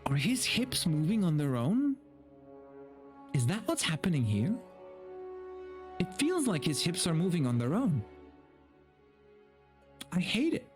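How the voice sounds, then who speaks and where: A man's voice: on the high side, wildly swooping pitch. Theatrical man reading a story over music. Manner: theatrical, deliberate, breaks off into casual wry remarks. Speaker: streamer reading aloud. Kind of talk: dramatic story reading with mocking asides.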